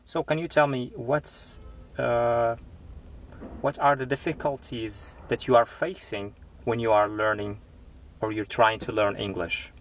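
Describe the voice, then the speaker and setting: A man from Morocco, an English tutor chatting live with his viewers, unhurried and pausing often with fillers. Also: medium pitch, fairly even intonation. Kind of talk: live stream chat with viewers.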